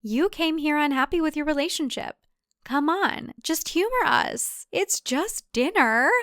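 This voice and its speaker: A woman voicing a brainwashed, robotically cheerful tradwife in a cult thriller audiobook, with a perky over-bright delivery. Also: high-pitched, animated, a little slow, no echo, studio quality, pitched above the performer's own voice, heavily acted. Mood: shrill, coaxing. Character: brainwashed chipper young tradwife cult member, eerily cheerful.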